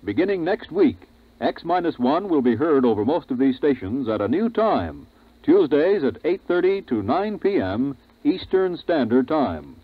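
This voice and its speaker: A man's voice: fairly high pitch, big pitch swings, normal speed, no room sound. A man with an American accent reading a network announcement in 1950s radio style. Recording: dry, tinny 1950s radio recording.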